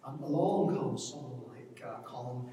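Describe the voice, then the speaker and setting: A man's voice: mid-range voice, animated, moderate pace, dark tone, a little hiss or hum. A man gives an informal literary talk off the cuff, hesitant and often repeating words as he thinks aloud. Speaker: panel speaker on literature. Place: large reverberant indoor event space. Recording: distant, very echoey hall with long reverb.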